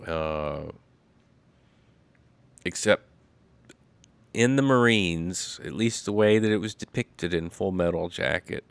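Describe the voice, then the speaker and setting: Low slow guy talking to his stream, smooth voice, bit stuffy, lots of ums. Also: no echo. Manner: slow, rambling, with many fillers. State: congested after illness. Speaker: livestream host. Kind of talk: casual solo livestream talk.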